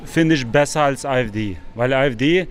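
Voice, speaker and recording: A man's voice: mid-range voice, normal speed, smooth. A man from Kosovo, not a native German speaker, giving his opinion in a street interview. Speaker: interviewed passer-by.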